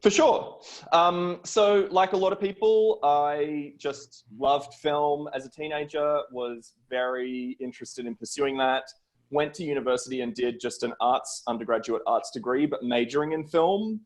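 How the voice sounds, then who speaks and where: A man's voice: fairly high pitch, unhurried. A middle-aged man with an Australian accent, speaking as a guest film producer in a conversational storytelling style on a video call.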